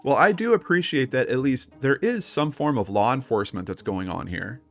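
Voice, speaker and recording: A man's voice: medium pitch, expressive, brisk, faint music behind. A man co-hosting a casual paranormal discussion, talking informally and telling personal anecdotes with plenty of conversational asides. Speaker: podcast co-host.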